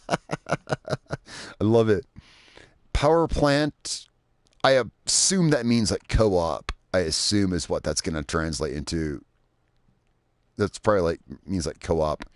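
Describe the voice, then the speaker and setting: A man's voice: deep voice, animated, slow, long pauses, no room sound, clean studio sound. A man from Indiana, streaming a game and chatting loosely, rambling and swearing as he plays. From Indiana, USA. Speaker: gaming streamer. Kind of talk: casual live gameplay commentary.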